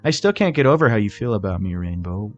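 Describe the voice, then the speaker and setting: A man's voice: fairly low pitch, very animated, fast talker, dull audio, soft background music. A man voicing a kind, reassuring female pony princess in a story reading. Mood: warm, reassuring.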